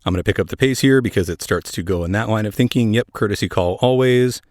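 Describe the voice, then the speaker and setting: A man with a fairly low voice narrates as a podcast host. His delivery is scripted yet conversational, with wry little asides. The sound is very dry, free of room echo. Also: quick pace, a little mellow. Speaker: air traffic controller.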